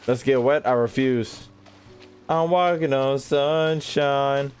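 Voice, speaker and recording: A man's voice: medium pitch, takes it slowly, darkish tone, clear, resonant tone. A young man giving casual live commentary on a game as he streams, slangy and jokey. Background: faint game music.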